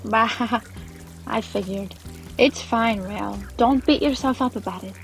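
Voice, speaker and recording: Wry, forgiving former fiancée, actress, slightly high voice, scoffing and teasing, fantasy podcast drama. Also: female voice, slow pace, creaky, faint music behind. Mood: resigned, forgiving. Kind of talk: acted fantasy audio drama from tabletop game.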